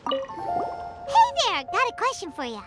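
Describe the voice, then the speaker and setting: A woman voicing a small, childlike fairy companion in a video game, squeaky, bubbly and chatty. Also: wildly swooping pitch, slow pace, faint music behind, full character voice. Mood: cheerful, helpful. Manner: refers to herself in third person, bubbly. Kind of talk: video game character acting.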